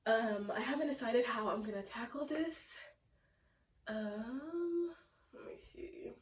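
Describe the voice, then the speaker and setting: A young woman with a sick, congested, raspy and nasal voice, chatting casually in a home plant vlog with lots of fillers. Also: a little high, takes it slowly. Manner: chatty and self-deprecating, lots of "like" and "um". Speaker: plant vlogger. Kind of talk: casual rambling vlog chat. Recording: echoey room, mic at a distance.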